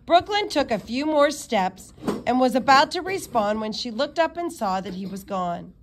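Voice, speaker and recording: Female read-aloud narrator, slightly high voice, expressive children's storytelling with occasional stumbles. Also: normal speed, slight background noise. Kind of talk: reading a children's novel aloud.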